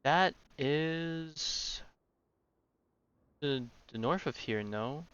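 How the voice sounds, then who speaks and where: A man's voice: on the high side, lively intonation, very slow, a bit bright, smooth. A man streaming a game, giving offhand, joking reactions. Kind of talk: casual live commentary. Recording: dull lo-fi mic with faint noise.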